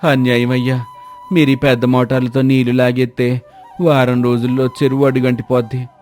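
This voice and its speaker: A man's voice: medium pitch, level tone, moderate pace, a little mellow, lightly acted. A man as worried male fisherman, humble, pleading, countrified, music behind. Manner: rustic colloquial speech.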